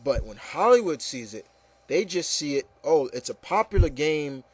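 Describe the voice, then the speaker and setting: A man's voice: on the high side, sing-song, moderate pace, breathy edge, no room sound, poor audio. A man hosting a casual opinion talk, ranting emphatically and often repeating himself with okay and you know. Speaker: host. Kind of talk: casual opinion rant with co-hosts.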